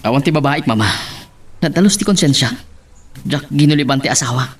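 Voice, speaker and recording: A man's voice: medium pitch, brisk, breathy edge, close to an everyday voice. A man with an Ilocano accent playing an evasive, anxious young husband in a romance audio drama, stammering and hesitant. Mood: defensive. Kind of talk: amateur Ilocano radio-style romance drama acting.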